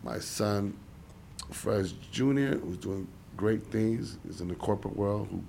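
A man's voice: on the low side, measured pace, creaky, warm tone, slight background noise. A man in his forties from Chicago, a guest in a casual interview, telling stories in a loose rambling way full of "you know". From Chicago, USA. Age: 40s. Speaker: interview guest, former boxer.

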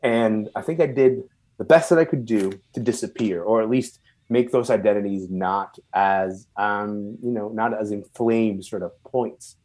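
A man from Sudan who moved to Canada young and is not a native English speaker, speaking reflectively and haltingly as an interview guest, searching for words. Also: fairly low pitch, a little mellow. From born and raised in Sudan, moved to Canada at twelve. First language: non-English first language.

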